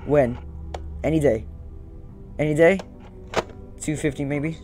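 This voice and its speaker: A man's voice: a little high, slow pace. A young man doing casual gameplay commentary, repeating short phrases to himself as he plays. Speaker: gaming video host. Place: small room at home, indoors. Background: game music.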